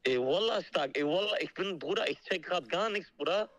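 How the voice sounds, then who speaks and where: A man with a slightly high voice heard over a dull phone connection. He chats casually as a caller, using street slang sprinkled with Arabic words. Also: lively intonation, brisk, a bit bright. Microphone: phone call played into a stream. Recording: dull, band-limited phone call.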